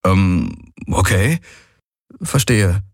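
A man's voice: sits low, wildly swooping pitch, drawn-out words, gravelly, breathy edge, no room sound, clean studio sound, full character voice. Man playing a casual, bewildered male traveller. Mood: awkward, casual. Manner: colloquial, hesitant, awkwardly friendly. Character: bewildered, casual, easygoing, embarrassed human male visitor. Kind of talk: audiobook character acting.

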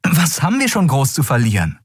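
A man voicing a bold, stubborn adventuring woman in a fantasy audiobook, brisk, persuasive and headstrong. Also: fairly high pitch, wildly swooping pitch, breathy edge, dry recording, clean studio sound, voice pushed up, exaggerated voice. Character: bold, stubborn young woman, adventurous thief and ship owner.

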